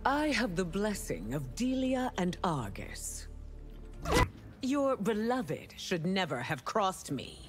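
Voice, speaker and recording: A woman's voice: mid-range voice, sing-song, slow. A woman playing a proud, menacing empress in a video game, haughty and commanding. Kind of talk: video game dramatic dialogue. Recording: music behind the voice.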